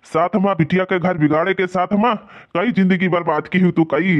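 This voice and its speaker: Man playing high-voiced, scolding Awadhi father-in-law. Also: steady tone, brisk, natural voice. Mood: stern. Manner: blustering, repeats accusations. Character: angry, scolding old village husband and father-in-law. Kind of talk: village cartoon comedy acting. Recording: dull, lo-fi sound.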